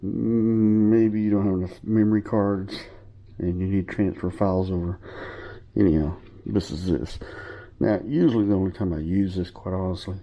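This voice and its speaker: A man's voice: sits low, steady tone, normal speed, dull audio. A man giving a casual, rambling show-and-tell of his equipment, sniffling a little from a cold and correcting himself as he goes. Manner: rambling, informal, with fillers and self-corrections. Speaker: hobbyist showing his gear.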